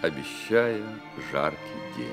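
A man narrating a literary story for a Soviet-era radio play, measured and expressive, with deliberate pauses. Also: a bass voice, gravelly. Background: music.

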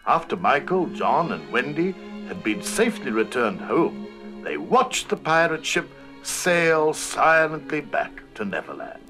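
A man's voice: fairly high pitch, sing-song, unhurried, sharp tone, faint music behind. A man reading a scripted children's story as narrator of a storybook record.

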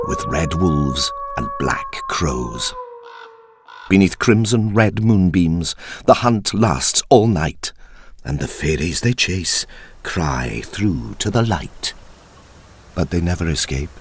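A man narrating a dark verse tale as a storyteller, theatrical, deliberate and ominous, with a husky deep voice. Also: huge pitch swings, slight background noise. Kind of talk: dramatic scripted storytelling in verse.

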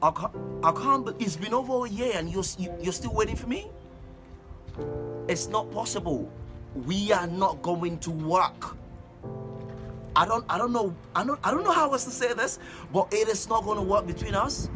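An actor playing an exasperated, dismissive boyfriend abroad in a phone-call drama, speaking emphatically and impatiently. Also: male voice, sits high, lively intonation, moderate pace, music in the background. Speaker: actor in a comic relationship drama.

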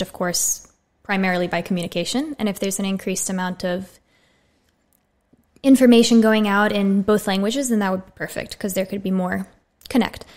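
Young American woman, relaxed interview talk. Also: medium pitch. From USA. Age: 20s. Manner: friendly, relaxed, frequent "so" openers. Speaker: podcast guest, medical student. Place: small bright studio room. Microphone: studio condenser mic on boom arm.

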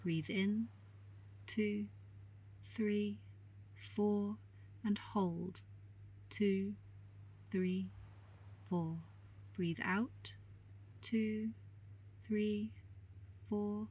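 A woman guiding a meditation in a calm, soothing, measured way with long pauses and a soft, breathy voice. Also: medium pitch, rough recording. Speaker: meditation guide. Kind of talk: guided meditation narration.

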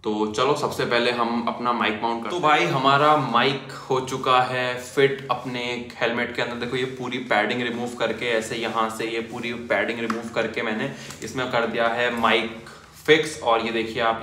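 Young male vlogger, bright casual delivery, echoey small room. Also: mid-range voice, a little hiss or hum. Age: young adult. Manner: chatty, addresses viewers as bhai and yaar. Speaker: motovlogger. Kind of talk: casual product demo. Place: small indoor room at a desk.

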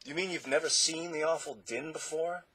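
A man's voice: medium pitch, brisk, pushed and bright, husky, voice pushed up, low-quality recording. A man playing an exasperated, showy doctor in a storybook, declaiming his points with indignant pride. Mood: lecturing. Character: theatrical, exasperated male doctor of noise, proud showman.

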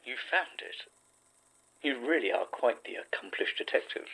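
A man playing a smug, falsely polite supernatural villain in a horror audio drama, with a breathy, husky voice. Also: medium pitch, lively intonation, normal speed, sharp tone, poor audio. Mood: gloating. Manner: mocking, silky, gloating.

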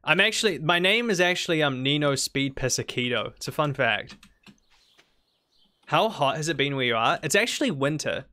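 Young kiwi guy streaming a farming game, highish voice, goofy back-and-forth with chat. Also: animated, normal speed, pushed and bright. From New Zealand. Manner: playful, jumps to high squeaky protests when teased.

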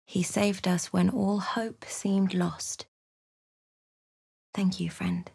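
An actress playing a thoughtful adventurer in a video game, with a measured, dramatic storytelling delivery. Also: female voice, mid-range voice, creaky, dry recording, clean studio sound. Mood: mournful. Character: thoughtful adventurer woman recounting her journey. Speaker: voice actor for a player character. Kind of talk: scripted game narration and character lines.

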